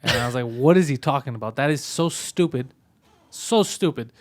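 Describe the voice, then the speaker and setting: A young man co-hosting a comedy show, chatting in an animated, mocking way and laughing easily. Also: mid-range voice, moderate pace. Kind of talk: casual comedic chat.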